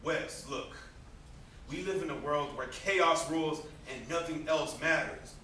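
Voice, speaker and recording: A man's voice: on the high side, unhurried, sharp tone. A young man on an amateur stage playing a bitter, guilt-ridden brother in mourning, with vehement, declamatory delivery. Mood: bitter despair. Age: young adult. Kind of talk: amateur stage drama acting. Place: indoor stage, recorded from a distance. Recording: very echoey, noisy stage recording from far off.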